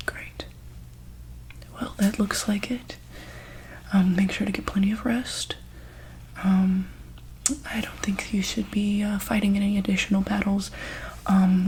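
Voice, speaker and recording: A woman playing a calm, caring monster-hospital doctor in an ASMR roleplay, speaking softly and hesitantly in a breathy whisper. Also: mid-range voice, close to an everyday voice, rough recording. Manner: hesitant, gentle, soft-spoken.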